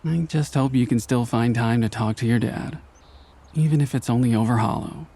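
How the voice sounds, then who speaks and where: Man as a mellow, husky, gentle father. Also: medium pitch, normal speed. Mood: wistful. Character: gentle, sentimental father of grown son. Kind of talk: motion comic voice acting.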